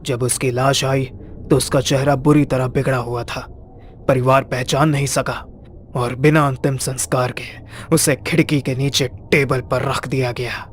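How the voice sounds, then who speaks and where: A man playing a solemn hospital dean in a cartoon horror story, speaking in a measured way. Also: medium pitch, level tone, a little hiss or hum, natural voice. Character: solemn male hospital dean revealing dark history.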